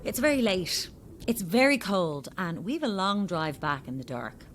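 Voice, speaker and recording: A woman's voice: on the low side, very animated, unhurried, slight background noise. A woman acting a sceptical, sardonic Irish journalist in an audio drama, blunt and challenging. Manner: challenging, dry.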